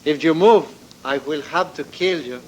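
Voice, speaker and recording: An actor playing a menacing Mexican ex-army sergeant turned bandit in a 1940s radio drama, mock-polite and deliberate, with a Spanish accent. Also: male voice, on the high side, muffled recording, lightly acted. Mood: smug, threatening.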